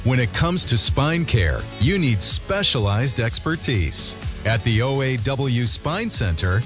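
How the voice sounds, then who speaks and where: Animated male ad announcer over music. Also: mid-range voice. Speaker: advertisement announcer. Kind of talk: scripted radio ad narration. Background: music bed.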